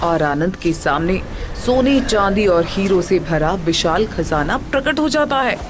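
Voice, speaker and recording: Lively female story narrator. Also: on the low side, measured pace, noisy background. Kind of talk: scripted moral story narration.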